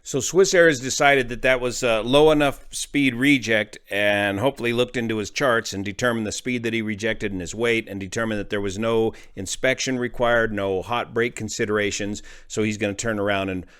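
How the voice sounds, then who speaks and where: A man's voice: lowish voice, steady tone, fairly quick, few pauses, fairly bright tone, smooth. Man giving emphatic aviation commentary. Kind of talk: explanatory incident commentary.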